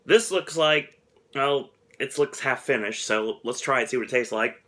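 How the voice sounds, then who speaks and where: A man's voice: mid-range voice, normal speed, bright, forceful tone. A man hosting a casual snack review vlog, rambling and chuckling at himself. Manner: rambling, chuckles often, self-deprecating. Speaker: host.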